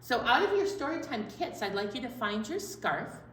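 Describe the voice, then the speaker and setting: A woman with a high, swooping voice presents a children's storytime. She is playful and talks straight to her young audience, heard from a little way off in an echoey room. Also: very animated, normal speed, a bit bright. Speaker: children's library storytime host. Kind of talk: cheerful children's program presenting. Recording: distant, echoey room with background noise.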